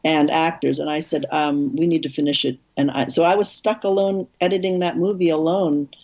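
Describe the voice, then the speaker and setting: A woman film director, an interview guest, telling animated stories in casual conversation with frequent restarts. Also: brisk, muffled recording. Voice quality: husky, low.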